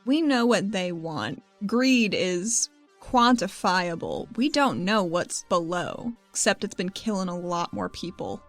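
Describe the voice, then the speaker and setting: A woman's voice: lowish voice, lively intonation, a little slow, faint music behind. A woman voicing an earnest, pragmatic young female negotiator in a tabletop roleplaying game. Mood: earnest insistence.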